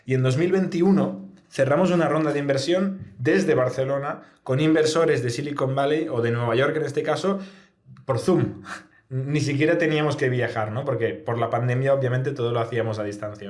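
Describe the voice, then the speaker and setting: Male interview guest, Spain accent, mid-pitched, reflective and explanatory, roomy echo on the recording. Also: fairly quick, darkish tone. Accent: Spanish from Spain. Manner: reflective, explanatory, often adds tag questions. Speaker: guest, startup founder. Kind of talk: conversational interview answers. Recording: echoey, reverberant room sound.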